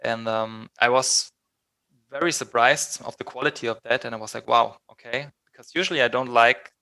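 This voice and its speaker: A man's voice: medium pitch, normal speed, gravelly, sharp tone. A man from southern Germany with a German accent, speaking casually as an interview guest. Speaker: band vocalist, interview guest.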